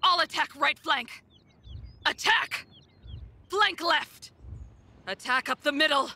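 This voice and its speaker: A woman voicing a haughty, menacing sorceress in a video game, theatrical and breathy. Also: high voice, slow pace, pushed and bright, exaggerated voice. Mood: urgent commanding. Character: sinister, haughty dark sorceress with godlike contempt. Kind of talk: video game character voice lines.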